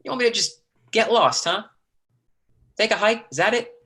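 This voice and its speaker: A man's voice: sits high, normal speed, pushed and bright. An actor reading a stage play as a sly, menacing drifter brother, terse and colloquial in his needling. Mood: pushy, taunting.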